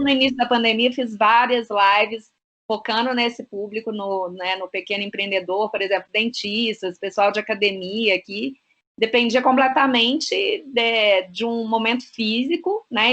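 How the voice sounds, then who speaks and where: A woman guest, a business consultant, talking freely in an interview, often filling pauses with short tags. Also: a little high, a bit bright. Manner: talkative, fills with "né" and "é". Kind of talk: casual interview chat.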